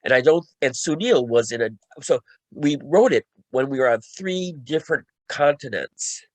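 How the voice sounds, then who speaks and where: A man's voice: on the high side, expressive, normal speed, no echo. A man talking casually on a panel as a co-writer, often repeating himself and restarting phrases as he recounts a creative process. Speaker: radio play co-writer and panelist.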